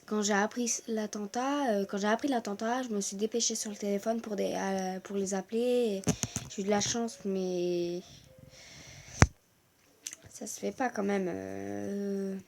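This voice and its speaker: A woman's voice: mid-range voice, fairly even intonation, measured pace, a little mellow, a little hiss or hum. A teenage girl from France speaking earnestly to her viewers in a personal video, hesitant and full of fillers and restarts. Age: teens. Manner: earnest, growing indignant. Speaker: vlogger.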